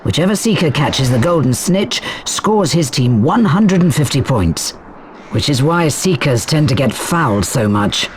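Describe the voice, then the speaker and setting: A wizard school sports coach, formal and brisk, lively mid voice, game tutorial, bit of noise. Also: male voice, on the high side. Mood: brisk, instructive. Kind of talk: video game tutorial acting.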